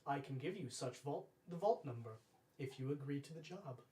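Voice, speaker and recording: A man in his thirties running a tabletop roleplay as game master, speaking formally and deliberately in character. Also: a little high, expressive, warm tone. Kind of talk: tabletop roleplay narration, speaking as a fae ruler. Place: indoor room, live-streamed tabletop game. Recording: roomy, distant mic, faint noise.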